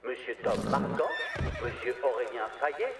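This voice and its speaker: A man's voice: mid-range voice, big pitch swings, unhurried, recorded from a distance. A man voicing a formal, ceremonious horse show announcer in a cartoon dub. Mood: formal announcing. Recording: echoey loudspeaker sound from afar, noisy.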